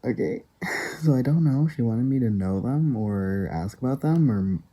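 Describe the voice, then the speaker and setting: Man, casual rambling vlogger, very dark mellow tone, laughs often between thoughts. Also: medium pitch, lively intonation, moderate pace, slightly rough. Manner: rambling, many fillers, frequent soft laughs.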